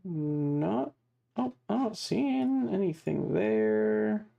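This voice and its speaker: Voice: mid-range voice, animated, drawn-out words, creaky, dark tone, dull audio. A game streamer chatting casually and swearing freely over game music. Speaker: livestream host. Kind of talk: casual gaming stream chat.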